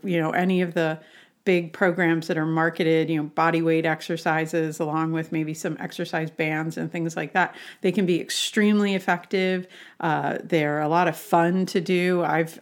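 Woman w a lowish voice, coach type talk, explains stuff casually, asks lots of rhetorical questions. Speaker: health and wellness coach.

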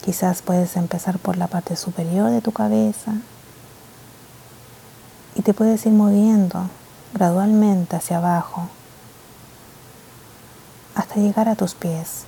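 A woman guiding a mindfulness meditation in a soft, slow, gentle way, with pauses between short phrases. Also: medium pitch, steady tone, gravelly, slight background noise, rough recording. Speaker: meditation guide. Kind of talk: guided mindfulness meditation, scripted and instructional.

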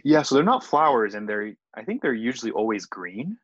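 A man's voice: mid-range voice, very animated, fairly quick, fairly bright tone, dry recording, muffled recording. A young man chatting casually as a guest, speaking hesitantly with many fillers and frequent laughs. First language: not Japanese. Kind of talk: casual chat.

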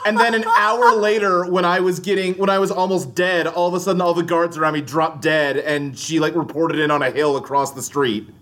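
Dry, deadpan man on a podcast. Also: a little high. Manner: dry, quick backchannels, deadpan quips. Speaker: podcast co-host. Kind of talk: casual chat.

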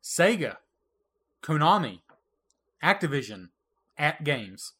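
A man's voice: a little high, big pitch swings, takes it slowly, pushed and bright, husky. A man co-hosting a casual gaming podcast, running a trivia quiz in a playful, teasing way and laughing often. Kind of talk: casual retro gaming chat.

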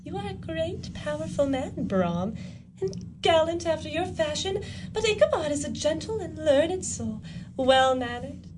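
Coquettish young village belle, played by a woman: high, lively, unhurried, teasing radio play delivery. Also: background noise. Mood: challenging, arch. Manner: teasing, giggly, arch. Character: coquettish, spirited young village lady with suitors.

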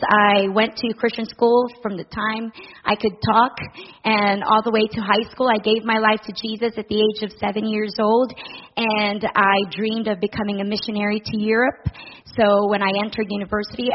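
A middle-aged woman from the Philippines addresses a church congregation as a charity director. She speaks with earnest warmth and a personal touch, dotted with soft fillers. The sound is a little dull. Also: mid-range voice, a little hiss or hum. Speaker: director of a family services charity.

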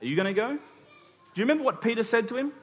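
Animated male preacher in a reverberant hall. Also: very high-pitched, racing. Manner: informal, acts out dialogue in everyday words. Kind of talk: conversational sermon.

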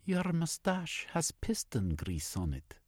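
A man's voice: fairly high pitch, wildly swooping pitch, slow, darkish tone, dry recording, studio quality, voice pushed up, heavily acted. A man voicing a headstrong young woman adventurer in an audiobook, speaking primly in a raised, lighter voice. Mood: teasing.

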